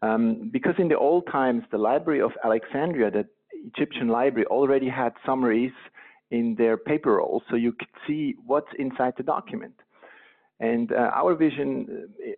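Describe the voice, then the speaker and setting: A man with a Swiss accent answering interview questions in a relaxed, hesitant way. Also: mid-range voice, moderate pace, gravelly. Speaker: guest, company co-founder. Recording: tinny phone line.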